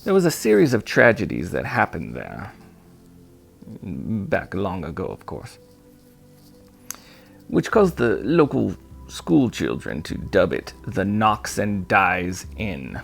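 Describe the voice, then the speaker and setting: A man voicing a kindly old male shopkeeper in an audiobook, with courteous, old-fashioned, gently formal phrasing. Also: deep voice, wildly swooping pitch, takes it slowly, gravelly, pauses often, husky, faint music behind, exaggerated voice. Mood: storytelling, mysterious. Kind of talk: audiobook character voice.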